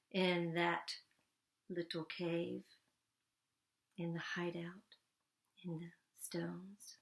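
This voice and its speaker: A woman narrating a children's storybook, with deliberate, expressive phrasing and pauses between phrases. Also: fairly low pitch.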